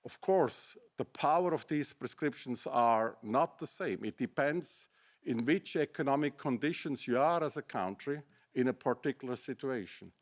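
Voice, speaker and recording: A middle-aged man with a German accent giving a seminar presentation, building his case through pointed rhetorical questions. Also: medium pitch. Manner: argumentative, asks rhetorical questions. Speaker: academic speaker.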